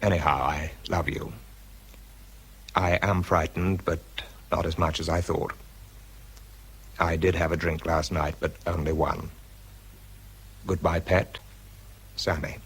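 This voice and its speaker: An actor playing a wry, anxious wartime fuse expert, narrating and speaking in an understated, hesitant way in a radio drama. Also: male voice, a bass voice, steady tone, slow pace, pauses often, breathy edge, exaggerated voice. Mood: reflective, subdued. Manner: understated, hesitant when challenged.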